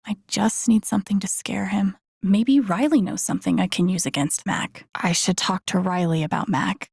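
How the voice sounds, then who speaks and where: A woman playing a thoughtful, wry young game protagonist, musing to herself in low-key introspective lines. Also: moderate pace, vocal fry, darkish tone, slightly rough, dry recording, clean studio sound. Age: young adult.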